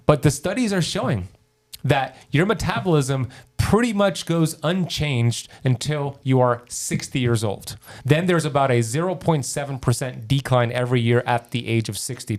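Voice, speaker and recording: A man talking as a podcast guest, explaining health ideas in a keen, teacherly way with examples. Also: mid-range voice. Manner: enthusiastic, teacherly, gives examples. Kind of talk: explanatory interview talk.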